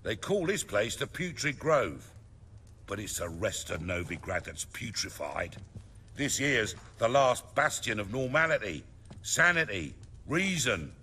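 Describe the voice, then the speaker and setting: An actor playing a confident, scheming middle-aged underworld boss in a fantasy video game, speechifying in measured, colloquial English. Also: male voice, fairly low pitch, sing-song, bright, forceful tone, slightly rough, heavily acted. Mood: scornful, fervent. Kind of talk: dramatic video game dialogue.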